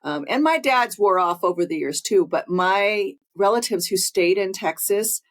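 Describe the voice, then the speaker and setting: A woman's voice: medium pitch, normal speed. A woman co-hosting a podcast, chatting casually, wandering off on tangents and laughing often. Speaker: podcast co-host, hospice nurse. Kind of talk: casual interview chat.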